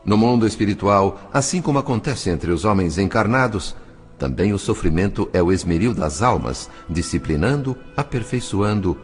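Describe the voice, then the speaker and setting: A man with a Brazilian accent playing an earnest, gentle spirit narrator, telling the story in a solemn, measured audiobook style with drawn-out phrase endings. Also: fairly low pitch, lively intonation, lightly acted. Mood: reverent. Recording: studio narration with music underneath. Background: music.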